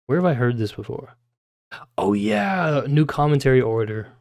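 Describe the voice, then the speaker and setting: A male livestreamer with a medium-pitched voice reads literary prose aloud. He slips between narration and loose, informal chat, with puzzled asides and casual swearing. The sound is dry, with very little room in it. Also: sing-song, normal speed, vocal fry, a little mellow, dry recording.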